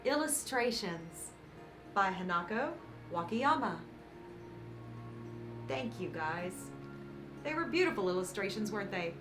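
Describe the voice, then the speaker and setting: A woman reading a picture book aloud to children as host, with dramatic emphasis and warm, encouraging asides. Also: mid-range voice, animated, a little slow, long pauses. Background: background music.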